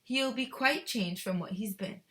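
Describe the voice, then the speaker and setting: A woman voicing tiny factory workers from a children's storybook in a rhythmic, drawn-out rhyming chant, gleeful and moralizing. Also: a little high, sharp tone. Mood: gleeful warning.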